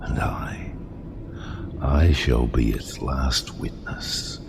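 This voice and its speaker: An actor playing a bitter, vengeful sea captain who condemns mankind in grand, theatrical audio drama speeches. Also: male voice, extremely low pitch, wildly swooping pitch, in a whisper, full character voice. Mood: grim doom. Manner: grand, theatrical, deliberate oratory dropping to hushed intensity.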